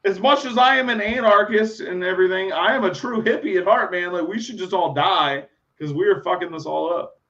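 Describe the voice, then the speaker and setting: A man's voice: high-pitched, fairly quick, few pauses, a bit bright. A man, co-hosting a casual podcast, blunt, profane and argumentative. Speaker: podcast co-host. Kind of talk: crude casual chat. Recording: slightly dull lo-fi sound.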